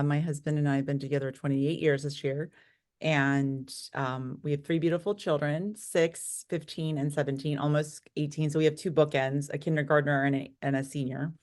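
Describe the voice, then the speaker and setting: A woman in her late forties with an American accent, telling her own story candidly and talkatively as an interview guest. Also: sits low. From United States, born in Korea. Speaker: interview guest, real estate broker. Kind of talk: conversational interview answers, personal storytelling.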